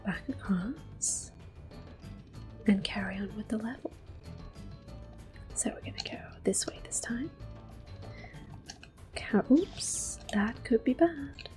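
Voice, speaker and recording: A woman whispers her way through a game playthrough in ASMR style. Her delivery is slow and hushed, unhurried as she narrates each step, with faint game music behind her. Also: mid-range voice. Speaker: ASMR gameplay host. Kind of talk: soft-spoken ASMR game commentary with whispering.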